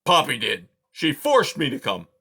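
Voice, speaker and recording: A man voicing a grumpy, complaining male porcupine who snarls and shouts in loud outbursts. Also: fairly high pitch, huge pitch swings, normal speed, pitched above the performer's own voice, heavily acted. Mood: grumbling.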